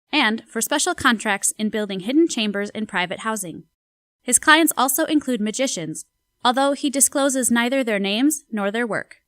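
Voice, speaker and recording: High-voiced woman reading a news article. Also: a bit bright, no room sound, studio quality. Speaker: audio drama narrator. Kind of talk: scripted reading of a newspaper article.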